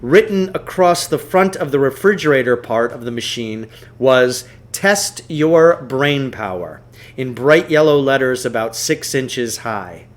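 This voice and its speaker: A man reading a storybook aloud as narrator, in a deliberate, expressive way. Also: mid-range voice. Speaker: teacher reading a book aloud.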